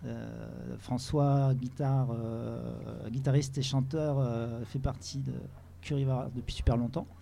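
A man's voice: mid-range voice, fairly even intonation, measured pace, vocal fry, dark tone. A man, a musician, chatting casually as a guest in a live interview. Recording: faint live venue background noise.

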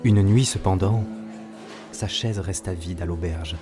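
An actor playing the narrator of a tall tale, with measured storybook telling in a radio fiction. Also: male voice, low-pitched. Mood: measured storytelling. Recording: loud guitar music behind.

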